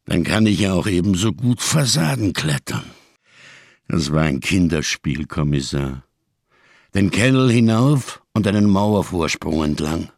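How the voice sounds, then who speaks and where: Huge old solemn fugitive in a radio play, super low n slow, careful fussy wording, husky. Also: male voice, expressive, dry recording, clean studio sound, full character voice. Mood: grave, defiant. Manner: ponderous, over-careful, elaborate diction. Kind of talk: German radio crime drama acting.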